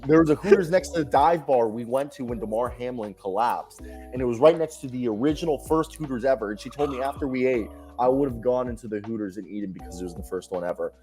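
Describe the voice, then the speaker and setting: A young man co-hosting a live basketball stream, talking loudly and animatedly in casual chat and laughing often. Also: mid-range voice, fast, soft background music, low-quality recording. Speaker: co-host of a live basketball show.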